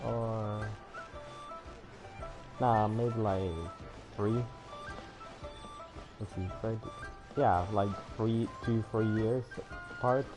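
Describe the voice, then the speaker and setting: A man streaming a game, chatting casually and thinking out loud in a rambling way. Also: on the low side, fairly even intonation, very slow, pauses often, music in the background. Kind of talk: casual livestream chat while gaming.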